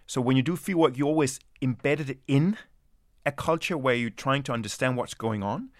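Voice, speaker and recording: A man from Denmark, speaking as an anthropologist, who answers questions slowly and thoughtfully, often restarting his sentences. His voice sits at an ordinary middle pitch. The recording is dry and close, with no room sound. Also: no room sound. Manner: thoughtful, hesitant, many restarts. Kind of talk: reflective interview answers.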